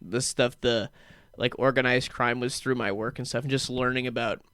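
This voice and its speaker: A man chats as a comedy guest on a radio show, riffing with playful bits. His voice has a bright, forward tone. The studio sound is close and dry. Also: mid-range voice, fairly even intonation, normal speed, clear, resonant tone, no room sound. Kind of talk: casual comedy chat.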